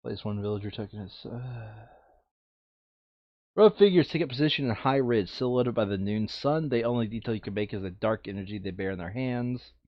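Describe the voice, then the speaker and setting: A man's voice: on the low side, fairly quick, dry recording, dull audio. A man playing a board game, chatting casually and bluntly with some swearing and reading game text aloud. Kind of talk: casual game table chat, some read-aloud narration.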